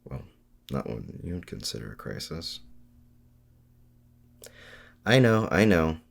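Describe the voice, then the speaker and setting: Casting: a man as a brusque, evasive supervillain for roleplay audio, extremely deep with heavy vocal fry. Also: big pitch swings, slow, a little hiss or hum, heavily acted. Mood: guarded, evasive. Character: guarded male villain confiding in his hero rival. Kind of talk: ASMR roleplay audio drama.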